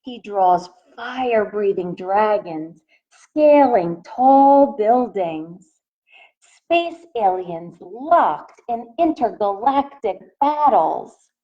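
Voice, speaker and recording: A woman teacher reading a picture book aloud to young children in a deliberate, expressive way, speaking straight to her listeners. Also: mid-range voice, dull audio.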